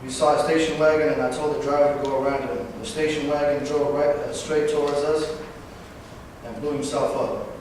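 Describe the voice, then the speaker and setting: A man in his twenties from Northern California, a veteran giving a personal talk, halting at times with fillers and self-corrections. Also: fairly high pitch, little pitch movement, darkish tone. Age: 20s. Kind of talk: personal speech read to an audience. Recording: distant, echoey hall recording with background noise.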